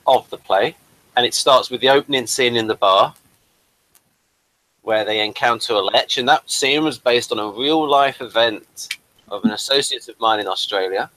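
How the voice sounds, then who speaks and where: Guy hosting a chill chat, rambly, bright tone, keeps saying folks. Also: mid-range voice, rough recording. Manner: rambling, loose and chatty, addresses viewers as folks. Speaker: host and playwright. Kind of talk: casual conversational interview.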